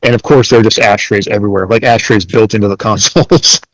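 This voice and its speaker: Low-voiced man chatting casually on a podcast. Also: steady tone, quick pace, low-quality recording. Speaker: podcast host. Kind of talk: casual chat.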